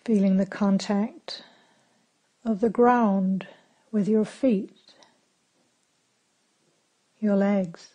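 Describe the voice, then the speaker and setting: Slow, mellow woman guiding meditation. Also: mid-range voice, breathy edge. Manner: calm, unhurried, gentle instruction with long pauses. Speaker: meditation teacher. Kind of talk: guided meditation.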